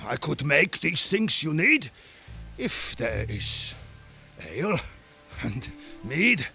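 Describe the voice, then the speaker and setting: An actor playing a hearty, ale-loving Viking blacksmith who bargains boisterously in a video game scene. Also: male voice, fairly high pitch, very animated, slow pace, creaky, pushed and bright, soft background music, exaggerated voice. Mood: hearty, bargaining.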